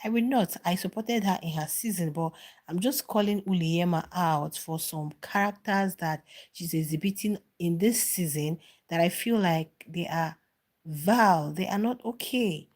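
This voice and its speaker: A middle-aged woman with a fairly low voice chats through a live stream. She answers viewer comments as they arrive and repeats phrases to drive her point home. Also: measured pace, a little mellow. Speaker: reality show commentator.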